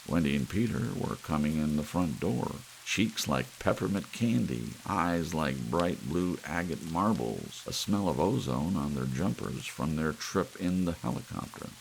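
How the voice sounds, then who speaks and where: A man narrating an audiobook story in an ASMR reading style, with soft rain behind him. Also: a bass voice, expressive, a little slow, gravelly, hardly any pauses, slight background noise, poor audio.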